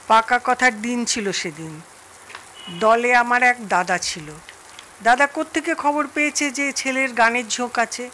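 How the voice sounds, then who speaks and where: An actress playing a chatty Bengali woman who tells family stories in an animated way in an audio drama. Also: on the high side, poor audio. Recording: faint noise behind voice.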